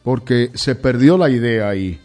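Brisk male radio host taking calls. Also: mid-range voice, expressive, darkish tone. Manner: brisk announcer reading the contact number and taking calls.

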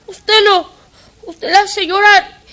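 A woman's voice: very high-pitched, fairly even intonation, slow pace, sharp tone, full character voice. A woman voicing a small, clingy boy who talks through sobs and pleads, in a radio drama. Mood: crying. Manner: sobbing, pleading child voice.

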